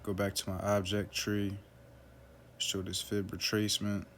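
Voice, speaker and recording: A man walks through a trading chart in a relaxed, offhand way, often pausing on "um" and "right?". His voice sits low and stays flat, with little rise or fall. A faint hum of background noise runs under the recording. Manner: relaxed, loose, lots of fillers like "um" and "right?". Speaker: forex trader analyzing a chart. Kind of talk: casual screen-share trading walkthrough.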